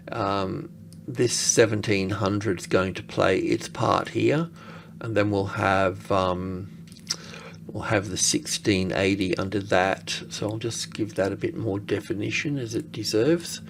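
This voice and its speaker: A man giving casual, unscripted screen-share commentary as an analyst, halting and thinking aloud as he goes. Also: lowish voice, vocal fry, slight background noise. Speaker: market analyst presenting.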